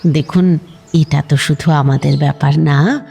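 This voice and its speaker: An actress playing a polite but probing middle-aged neighbour woman in an audio drama, speaking haltingly and hesitantly. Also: low voice, fairly even intonation, vocal fry, dark tone, breathy edge, soft background music, heavily acted. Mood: hesitant, tactful.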